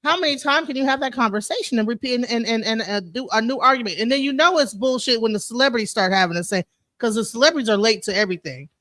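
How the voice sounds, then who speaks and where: Blunt, bright-voiced woman in her forties. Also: on the high side, fast, no room sound, studio quality. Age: 40s. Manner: blunt, profane, loud, mocking. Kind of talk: casual discussion.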